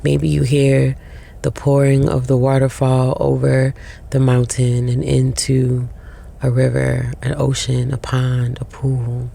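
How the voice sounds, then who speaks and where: A woman guides a meditation in short, unhurried phrases with long pauses. Her voice is dark and relaxed, and it crackles with heavy vocal fry. The delivery is soothing throughout. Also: very deep voice.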